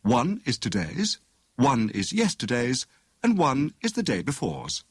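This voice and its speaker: Man voicing a witty young wife, pitched up. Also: wildly swooping pitch, husky, no echo, studio quality, full character voice. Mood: withering. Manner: withering, coaxing, brisk. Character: witty, sharp-tongued young wife, eager amateur detective.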